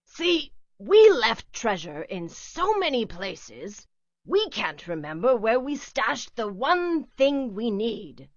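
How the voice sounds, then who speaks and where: A woman's voice: a little high, very animated, slow, no room sound, voice pushed up, full character voice. A woman voicing a jaunty old male ghost pirate who talks in a folksy drawl with rhyming patter. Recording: slightly dull lo-fi recording, a bit distant.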